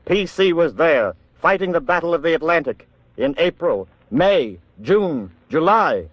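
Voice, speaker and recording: A man's voice: fairly high pitch, lively intonation, measured pace, dry recording, highs cut off. An American man narrating a war drama in a grave, emphatic 1940s radio style.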